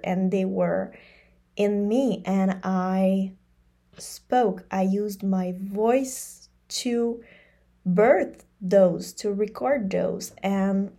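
A woman whose first language is French, hosting a solo podcast in a slow, reflective monologue that repeats phrases for emphasis. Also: medium pitch, warm tone. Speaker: podcast host.

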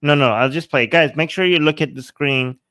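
A man's voice: fairly high pitch, fairly quick, pushed and bright, no echo, studio quality. A man hosting a livestream reaction show, thinking aloud and reading subtitles out to viewers. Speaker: show host.

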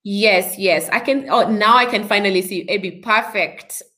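A woman's voice: medium pitch, normal speed, fairly bright tone. A Kenyan woman hosting a podcast interview over a video call, with upbeat, speech-like introductions. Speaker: podcast host. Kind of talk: live online interview.

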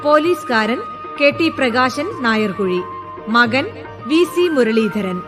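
A woman radio announcer reading the closing credits of a radio play in a measured, formal way. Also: fairly high pitch, animated, over music.

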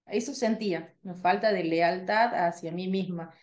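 A woman's voice: fairly low pitch, moderate pace. Chatty Tucumán-accented woman co-host. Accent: Tucumán Argentine Spanish, slightly softened. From Tucumán, Argentina. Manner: chatty, lively, trained articulation. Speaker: radio podcast co-host. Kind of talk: casual radio chat.

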